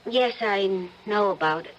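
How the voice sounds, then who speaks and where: An actress playing an anguished but determined wife in earnest, melodramatic old-time radio drama style. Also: female voice, medium pitch, measured pace, lo-fi sound, natural voice. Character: anguished, determined wife secretly seeking the truth.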